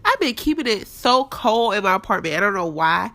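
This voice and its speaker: A young woman with a stuffed-up nose, chatting candidly to her listeners as a podcast host. She talks at a middle pitch, casual and personal, recorded on a home mic in her apartment. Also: big pitch swings, fairly quick, bright, forceful tone. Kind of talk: casual faith-based podcast talk.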